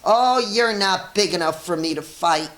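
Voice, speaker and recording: A woman reading a children's storybook, voicing a grouchy, bossy little ladybug who shouts and makes demands. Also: fairly low pitch, a little slow, rough recording. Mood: blustering. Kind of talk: children's storybook read-aloud.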